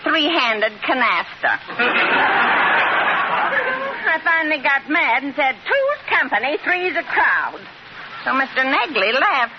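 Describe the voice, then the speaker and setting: An actress playing a lovelorn, naive and nervous housemaid in a 1950s radio sitcom, speaking plaintively and earnestly. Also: female voice, sits high, very animated, slow, long pauses, heavily acted. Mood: glum, plaintive. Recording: muffled, noisy old radio recording.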